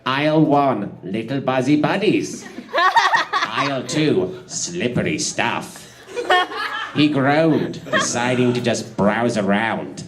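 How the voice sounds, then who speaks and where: Animated, giggly man reading comic fan fiction. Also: mid-range voice, slow, very noisy. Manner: giggly, theatrical, often breaking up. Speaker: narrator.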